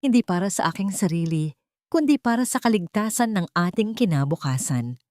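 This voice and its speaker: A woman's voice: mid-range voice, animated, brisk, darkish tone, no echo, studio quality, natural voice. A Filipino woman voicing a scheming businessman stepfather who gives a solemn, falsely mournful speech in an audio story. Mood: solemn, resolute.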